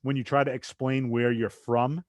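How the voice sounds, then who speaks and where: Rambling podcast host, light Massachusetts accent. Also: male voice, mid-range voice, level tone, moderate pace, a little mellow, no room sound. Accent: light Massachusetts, drops R's when fast. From southeastern Massachusetts. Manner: rambling, loose, full of asides. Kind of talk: casual interview chat.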